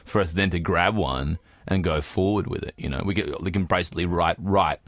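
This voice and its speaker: A man's voice: low voice, brisk, no room sound. A man co-hosting a podcast in casual creative discussion, often repeating and rephrasing himself. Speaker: podcast co-host.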